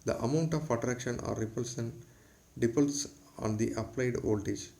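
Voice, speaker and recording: A man's voice: mid-range voice, moderate pace, vocal fry, dark tone. A man giving a formal, scripted lecture, read aloud in a textbook-like way.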